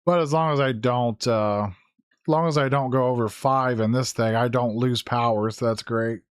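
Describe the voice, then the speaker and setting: A hoarse man who is losing his voice, giving casual gameplay commentary, thinking aloud with fillers and self-corrections. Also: mid-range voice, steady tone, moderate pace, no room sound, studio quality. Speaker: gaming YouTuber. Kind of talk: casual Let's Play commentary.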